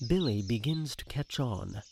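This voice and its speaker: A man's voice: medium pitch, expressive, a little slow, gravelly, darkish tone, a little hiss or hum. A man narrating an audio drama in a scripted, dramatic present-tense style. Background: music.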